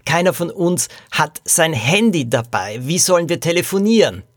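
An alert young girl detective, read by a man, practical and brisk in a storybook mystery. The delivery is lively in pitch and recorded very dry. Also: no room sound. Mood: practical, concerned.

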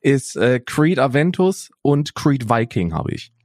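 A man's voice: medium pitch, sing-song, measured pace, a little mellow, no echo, studio quality. A young adult man co-hosting a podcast in casual chat, rambling on and tagging his lines with "ne?" and "Bruder". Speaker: podcast co-host.

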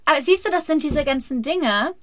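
A German actress chats on a podcast in a slightly high voice, recorded very dry and close. She tells her stories with animation, slipping into the voices of the people she quotes. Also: normal speed, no room sound. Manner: animated storyteller who acts out dialogue. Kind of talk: casual podcast chat. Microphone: home podcast setup.

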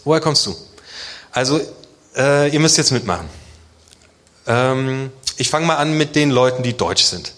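A man from Germany delivers an informal sermon at a medium pitch. He speaks conversationally to his congregation, posing questions in plain, casual words. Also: animated, measured pace, long pauses, a bit bright. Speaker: preacher.

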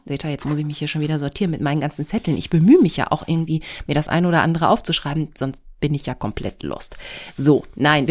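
A woman's voice: sits low, a little mellow. A middle-aged woman hosting her own podcast, talking casually and rambling a bit, nasal and stuffed up from a cold. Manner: scattered, rambling, self-deprecating. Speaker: podcast host. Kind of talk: casual solo podcast chat.

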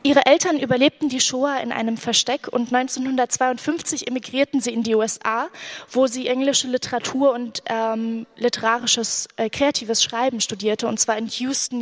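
A woman from Syria whose first language is Arabic, reading her literary texts at a public event with a measured delivery and hesitant fillers between passages. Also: a little high. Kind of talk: live literary reading with spoken asides.

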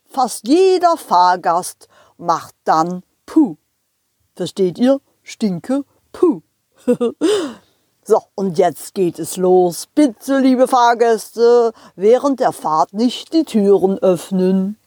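A woman voicing a good-natured, fussy male train driver in a children's audio play, with a drawn-out, folksy way of talking. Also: mid-range voice, lively intonation, darkish tone, no room sound, clean studio sound. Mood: jovial, explaining. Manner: drawn-out, chuckling, ends questions with "hä".

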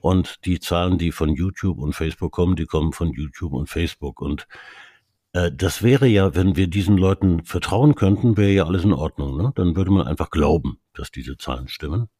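Low, husky man. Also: moderate pace, dark tone, no room sound, studio quality. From Canada. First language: English. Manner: hesitant, many restarts and fillers. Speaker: media expert guest. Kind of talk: casual expert conversation.

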